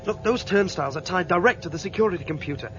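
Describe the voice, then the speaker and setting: Fugitive rebel, high-pitched, insistent, defiant, dull old radio drama sound, faint music. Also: male voice, animated, quick pace, noisy background. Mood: urgent. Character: urgent, defiant male rebel on the run. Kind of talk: 1970s sci-fi radio drama acting.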